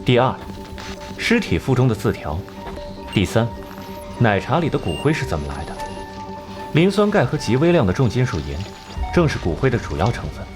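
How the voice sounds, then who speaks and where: Coolly arrogant genius detective, animated delivery. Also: male voice, mid-range voice, music in the background. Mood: coolly explaining. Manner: measured, condescending, explanatory. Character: cool, arrogant genius male detective, sharp-tongued and composed. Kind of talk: animated crime drama acting.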